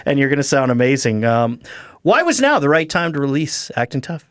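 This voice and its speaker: Canadian male host, animated intonation, dry close studio sound. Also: medium pitch, a little fast, no room sound. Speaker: radio show host. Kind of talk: scripted introduction and interview. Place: radio studio. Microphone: studio microphone.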